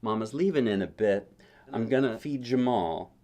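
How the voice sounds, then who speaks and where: A man voicing a busy, affectionate working mother of two boys in a picture book, with a brisk manner. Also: medium pitch, expressive, warm tone, close to an everyday voice. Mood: matter-of-fact, loving.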